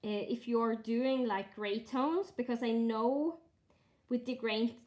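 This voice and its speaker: Slow, hesitant Norwegian-accented woman. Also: on the high side, darkish tone. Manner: halting, thinking aloud, frequent fillers. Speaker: cross-stitch streamer. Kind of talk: casual live stitch-along chat.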